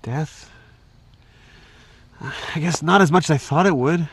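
A man playing an unsure, self-doubting young man in an audio drama, stammering and halting as he talks. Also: on the high side, slightly rough, dry recording, heavily acted. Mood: anxious, reflective. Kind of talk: post-apocalyptic audio drama acting.

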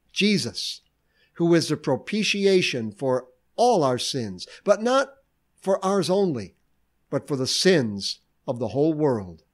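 A man giving a short devotional sermon, reflective and earnest, asking questions aloud. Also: on the high side. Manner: reflective, lively preaching with rhetorical questions. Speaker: preacher.

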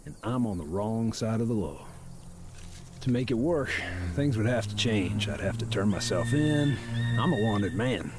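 An actor playing a gruff, bearded middle-aged drifter who talks in a slow, wistful, fatherly way. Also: on the low side, lively intonation, over music. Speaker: game character. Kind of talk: game voice acting.

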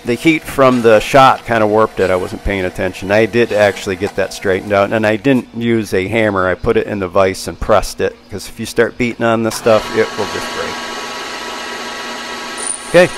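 A man's voice: fairly low pitch, fairly even intonation, moderate pace. A man in his sixties casually narrating hands-on shop work in a folksy, plainspoken way. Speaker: machinist and channel host. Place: machine shop, indoors. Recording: clear machine and tool noise. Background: machinery and power tools.

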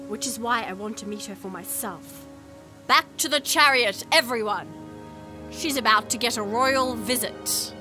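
A woman's voice: a little high, measured pace, over music, natural voice. A woman as an earnest, determined young princess. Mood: resolute. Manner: earnest, resolute. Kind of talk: audio drama acting and narration.

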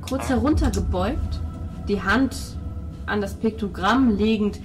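A woman's voice: mid-range voice, unhurried. A woman, narrating a tabletop role-playing game as game master. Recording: loud music under the table talk. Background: music.

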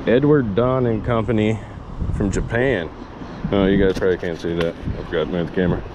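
Man, lowish voice, casual outdoor vlogger, talking to viewers while working, chest-mounted camera, clear background noise. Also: steady tone, a little fast. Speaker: magnet fishing vlogger. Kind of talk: casual outdoor vlog commentary. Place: outdoors on concrete by water under a road bridge. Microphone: chest-mounted action camera. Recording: noisy outdoor action-camera audio with ambience.

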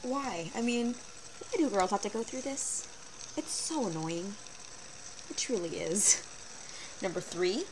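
A woman's voice: unhurried, long pauses. Chatty teen girl on a noisy webcam. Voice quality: fairly low voice for a woman. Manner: chatty, animated, sarcastic asides. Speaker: vlogger. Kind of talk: casual webcam vlog. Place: small bedroom, indoors. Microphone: built-in webcam mic. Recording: noisy low-quality webcam recording.

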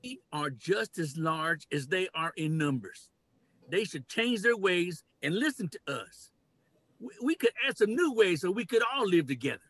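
Domineering leader of men, played by an older man, high-pitched and declamatory, dry sound, stage reading. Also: sing-song, moderate pace, a bit bright, husky, no echo, full character voice. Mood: commanding. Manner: declamatory, commanding. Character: domineering man who proclaims human rule over animals. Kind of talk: dramatic play reading. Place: cluttered home room, video call. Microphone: computer mic on video call.

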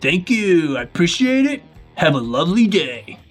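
A man's voice: sits high, sing-song, moderate pace, soft background music. A man acting a scheming but very polite, apologetic male robber in a game roleplay. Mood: politely menacing.

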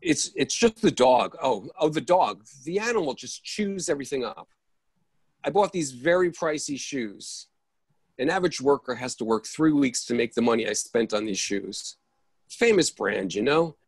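Actor as flustered, gravelly, exasperated man. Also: medium pitch, lively intonation, normal speed, dry recording. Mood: chatty, fretful. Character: exasperated, easily flustered man in an absurdist stage play. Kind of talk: staged play reading. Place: indoors on a video call.

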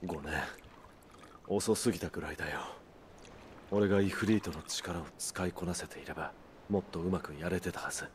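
An actor playing a brooding, terse mercenary hero in a Japanese video game, serious and restrained. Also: male voice, fairly even intonation, moderate pace, pauses often, noisy background, close to an everyday voice. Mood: grim regret. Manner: low-key, serious, restrained.

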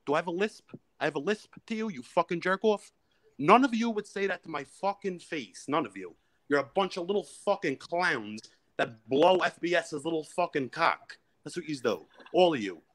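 A man's voice: on the high side, lively intonation, normal speed. A man with a New York accent, phoning in to a live stream and ranting insults at the hosts. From Brooklyn, New York. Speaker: caller.

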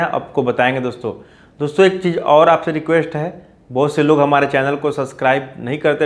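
A man, hosting an informal explainer and often addressing listeners as friends. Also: on the high side. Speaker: poultry farming video host. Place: small plain indoor room.

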